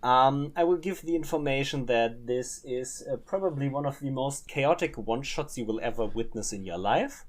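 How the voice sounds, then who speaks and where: A man's voice: mid-range voice, normal speed, hardly any pauses. Playful German man chatting casually. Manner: chatty, playful, lots of restarts and fillers. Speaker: podcast co-host. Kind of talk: casual chat. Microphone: webcam setup, home recording.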